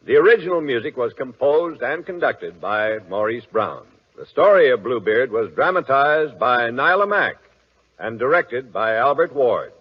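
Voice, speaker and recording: A man's voice: mid-range voice, big pitch swings, moderate pace. A man announcing credits and sponsor messages in the style of forties network radio. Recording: husky, muffled old radio recording, faint music.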